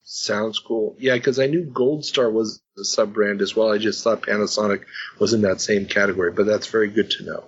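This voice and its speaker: Male podcast host, chatty and self-mocking, husky voice, dull lo-fi sound. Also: fairly low pitch, steady tone, moderate pace. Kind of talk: casual chat. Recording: slightly dull lo-fi podcast audio.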